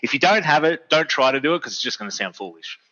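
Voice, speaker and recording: A man's voice: medium pitch, expressive, fast, sharp tone. A young adult man, chatting in an agreeable, easygoing way and laughing often. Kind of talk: casual podcast chat. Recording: dull lo-fi mic.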